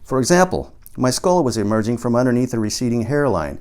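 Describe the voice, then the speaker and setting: A man's voice: medium pitch, big pitch swings, fast, darkish tone. A man in his sixties reading his own book aloud as first-person audiobook narration.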